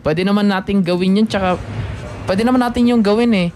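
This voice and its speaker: A woman's voice: mid-range voice, a little fast, darkish tone, a little hiss or hum, close to an everyday voice. A woman playing a cocky, laid-back rich male college student in a radio drama, with a Filipino accent and some English mixed in. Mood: breezy, teasing. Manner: nonchalant, defensive, mixes Tagalog and English. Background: faint music.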